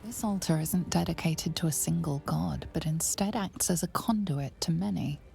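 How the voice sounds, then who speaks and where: A woman playing the grave narrator of a dark fantasy game, solemn, measured and ominous. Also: low-pitched, vocal fry, music in the background.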